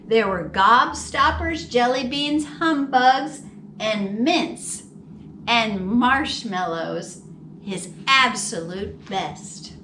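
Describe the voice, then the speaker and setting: A woman reading a picture book aloud to young children in an expressive, dramatic storytelling manner. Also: fairly high pitch, drawn-out words. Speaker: library storytime reader. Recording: roomy, distant mic with faint noise.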